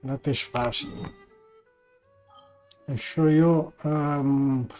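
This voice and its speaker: Mellow-voiced, halting game streamer. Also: medium pitch, steady tone. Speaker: live streamer. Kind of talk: casual chat while gaming. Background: game music.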